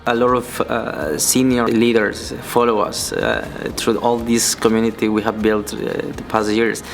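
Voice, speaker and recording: A man's voice: lowish voice, fairly even intonation, a little slow, soft background music. A man hosting an interview series, speaking with many fillers and repeated words. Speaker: host.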